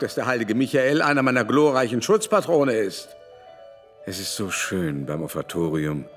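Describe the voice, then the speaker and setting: A haughty, refined baron of middle age in a radio play. His delivery is mannered and pompous, with very lively pitch movement. Long reverb surrounds him and music plays behind. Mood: indignant, rapturous. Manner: mannered, pompous, ornate phrasing. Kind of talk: German radio play acting.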